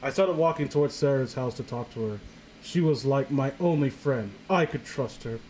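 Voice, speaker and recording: A man's voice: mid-range voice, moderate pace, a little mellow, low-quality recording. A man chatting casually and jokingly as a co-host, rambling and swearing as he goes. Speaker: co-host of a comedy story-reading show. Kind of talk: casual joking chat and mock reading. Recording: faint background noise, home recording.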